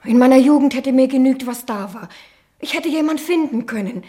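An actress playing a lonely, sheltered middle-aged niece in a 1960 German radio drama, with a hesitant, wistful way of speaking. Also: female voice, sits high, fairly quick, dark tone, lightly acted. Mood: pleading, bitter.

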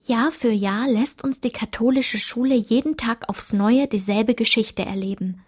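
A woman's voice: a little high, unhurried, a little mellow, slightly rough. A woman narrating a literary radio play, reading aloud in a composed way.

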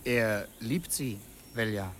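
An actor playing a governor's polite young aide in a radio drama, formal, measured and quietly challenging. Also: male voice, lowish voice, slight background noise. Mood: soothing, reproving. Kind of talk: German radio drama acting.

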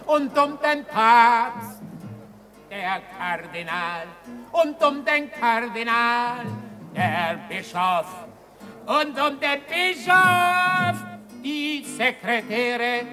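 An actress playing a mocking street ballad singer in a radio play, half singing and declaiming to the common folk. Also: female voice, on the high side, lively intonation, very slow, over music. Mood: declamatory, sly. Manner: declamatory, theatrical, half sung.

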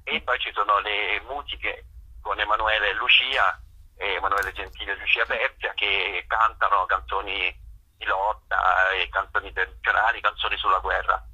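A man's voice: lowish voice, steady tone, moderate pace, pushed and bright, breathy edge. A man interviewed by phone as a guest performer, explaining discursively and searching for his phrases. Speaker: theatre writer-performer being interviewed. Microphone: telephone call to radio. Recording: dull, band-limited phone line.

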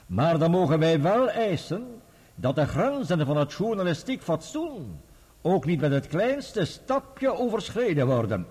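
An actor playing a formal, authoritative judge in a Dutch radio play, speaking in a measured, solemn way. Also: male voice, a little high, darkish tone, husky. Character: authoritative male English judge presiding over a libel trial.

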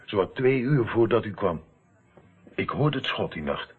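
An actor playing an intimidated, hesitant murder witness in a radio play. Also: male voice, on the low side, expressive, a little slow, husky. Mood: uneasy, recounting. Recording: muffled narrowband old radio play recording.

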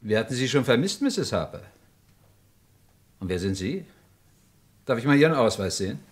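An actor playing a composed, authoritative police inspector in a radio crime drama, matter-of-fact and commanding. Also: male voice, mid-range voice, sing-song, moderate pace, slightly rough. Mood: businesslike. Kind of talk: 1950s-80s German radio crime drama acting.

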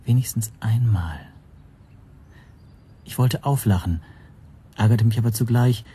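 An actor playing an anxious foreign language teacher who narrates his own story in a measured, introspective radio play style. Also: mid-range voice, warm tone, a little hiss or hum, close to an everyday voice. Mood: hushed, bitter. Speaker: first-person narrator.

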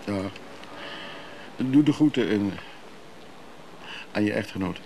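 Low, creaky, guarded man. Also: animated, dark tone, a little hiss or hum, exaggerated voice, low-quality recording. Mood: terse. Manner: terse, halting, guarded. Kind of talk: radio play dialogue.

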